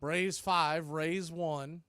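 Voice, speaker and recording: A man calling baseball play-by-play as a radio announcer, in clipped, folksy phrases that range from quiet to excited. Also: fairly high pitch, extremely slow, clean tone, no room sound, studio quality. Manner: swings from hushed calls to excited shouts. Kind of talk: live radio play-by-play commentary.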